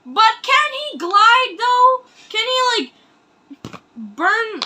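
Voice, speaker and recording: A woman with a high, bright voice hosts a casual reaction video. She takes her time between phrases, yet comes across as excitable, exclaiming often and rambling through her commentary. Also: drawn-out words, a little hiss or hum.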